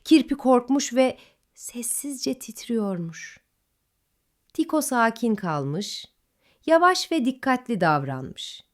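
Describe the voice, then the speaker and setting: Woman storyteller, higher voice, sing-song bedtime reading. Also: sing-song, takes it slowly.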